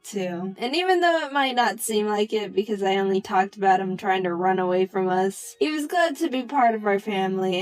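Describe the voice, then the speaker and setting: A young woman telling a personal story for a cartoon, in a casual, animated way with wry comic asides. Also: medium pitch, low-quality recording.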